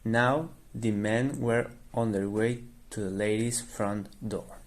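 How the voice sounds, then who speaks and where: A man's voice: on the low side, steady tone, slow pace. A man reading scripted story narration as the narrator of an amateur radio drama.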